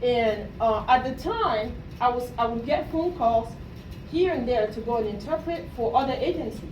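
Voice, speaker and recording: High-voiced woman speaking in a roomy space. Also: animated, moderate pace, darkish tone. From Cameroon. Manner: asks the audience questions, often adds "right?". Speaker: motivational speaker, coach. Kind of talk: motivational talk to students. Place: room at a university talk. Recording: roomy, distant mic, faint noise.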